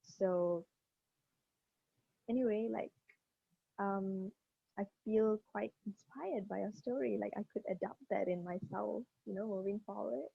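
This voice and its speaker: Gravelly woman on a dull line. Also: mid-range voice, unhurried, long pauses. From Malaysia. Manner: effusive, many fillers like "like, you know". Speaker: interviewer. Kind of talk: casual interview over a video call. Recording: dull dry lo-fi call audio.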